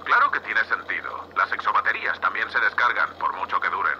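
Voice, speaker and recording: A man playing a gruff, impatient spaceship captain in an audio drama, curt and scolding, with a Castilian Spanish accent. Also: fairly low pitch, expressive, a little fast, bright, forceful tone, lo-fi sound, slight background noise, full character voice. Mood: focused, tense.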